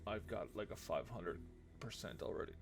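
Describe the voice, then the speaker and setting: Gravelly-voiced man streaming a game. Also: fairly low pitch, normal speed. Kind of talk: casual gameplay commentary, thinking aloud. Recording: loud game music behind. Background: loud video game music.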